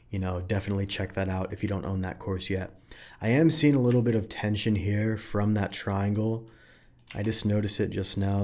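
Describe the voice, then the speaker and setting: A man hosting a 3D modeling tutorial, talking casually and thinking aloud as he works, his voice a little raspy from recording. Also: on the low side. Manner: relaxed, thinks aloud while working, frequent fillers. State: slightly raspy from long recording sessions.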